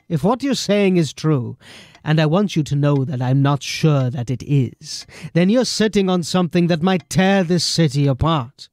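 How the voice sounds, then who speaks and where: A man playing a stern, skeptical but loyal police captain in an audio drama, measured and admonishing. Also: fairly high pitch, animated, a little mellow, no room sound. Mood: grave, cautioning.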